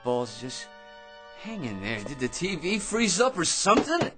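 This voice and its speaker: An actor in a radio drama playing a boring, tipsy barfly who rambles, stammers and chuckles to himself. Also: male voice, on the high side, very animated, measured pace, loud music behind the voice. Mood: baffled, excited.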